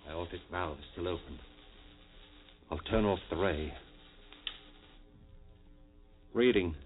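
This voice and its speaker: An actor playing an obsessed, commanding surgeon-inventor in an old-time radio horror drama, intense and theatrical. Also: male voice, deep voice, moderate pace, phone-quality sound, close to an everyday voice. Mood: tense, excited. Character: obsessed surgeon-inventor reviving the woman he loves.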